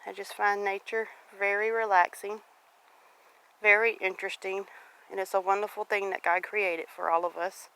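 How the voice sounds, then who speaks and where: A woman's voice: mid-range voice, level tone, normal speed, sharp tone, rough recording. A woman in her late forties talking casually and reflectively to viewers as she walks around outside, in a devout, encouraging manner. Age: late 40s. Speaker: homestead vlogger. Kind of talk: casual reflective walk-and-talk vlog. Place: outdoors on a rural property. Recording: outdoor recording with clear background noise.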